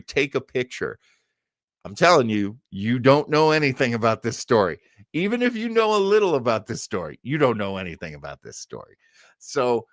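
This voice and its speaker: An American man hosts a casual livestream in a medium-pitched voice. He rambles amiably through announcements and side remarks in a chatty way. The sound is dry, with very little room in it. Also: a bit bright, no room sound. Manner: chatty, rambling asides, chuckling. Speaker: host of a live online show.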